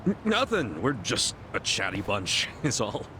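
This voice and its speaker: A man's voice: fairly low pitch, wildly swooping pitch, measured pace, background noise. An actor playing an upbeat, trusting, easily flustered male adventurer in an English video game dub. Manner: eager, stammering when flustered. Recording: music behind dialogue.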